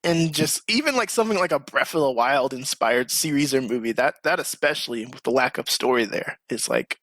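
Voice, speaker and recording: A man's voice: medium pitch, animated, a little fast, a bit bright, no room sound. A man hosting a casual podcast chat, talking informally and with slang. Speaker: podcast host.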